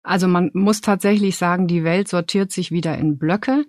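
A woman speaking as a business journalist in a podcast, explaining things in a measured way, step by step, with concrete examples. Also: fairly low pitch, a little mellow, dry recording, clean studio sound.

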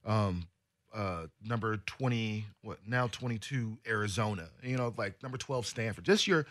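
Male radio co-host, lowish voice, dry studio mic, casual emphatic banter. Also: normal speed, a bit bright, no room sound. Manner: loose, joking, emphatic.

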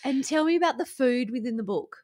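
An Australian woman co-hosting a casual chat about baking, a lively storyteller who reads passages with relish. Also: high-pitched, fairly quick. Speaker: podcast co-host.

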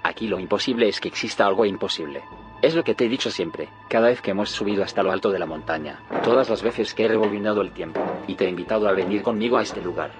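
An actor playing a grandiose, philosophical visionary in an audio drama, insistent and grandiloquent. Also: male voice, lowish voice, fast talker, music in the background, lightly acted. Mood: grandiose, intent. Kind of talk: dramatic audio fiction dialogue.

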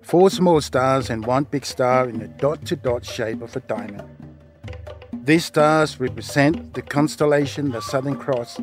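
Samoan man, native Samoan speaker, podcast guest, mid-pitch, steady explainer. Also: a little slow, faint music behind.

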